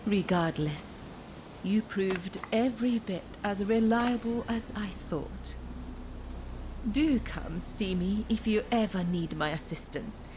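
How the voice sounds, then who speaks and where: A woman's voice: mid-range voice, slow, noisy background, natural voice. An actress playing a poised, teasing woman who trades favors in a video game, speaking politely and coyly. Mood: coy, composed. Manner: coy, polite, formal phrasing. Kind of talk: video game cutscene acting.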